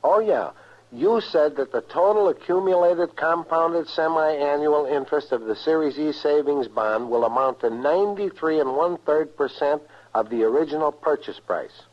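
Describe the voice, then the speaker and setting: A muffled vintage radio recording of an actor as an American husband in an ad sketch. He is laconic and absent, answering with deadpan calm. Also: fairly high pitch, moderate pace, natural voice. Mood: deadpan, smug. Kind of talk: 1950s radio advertisement sketch acting.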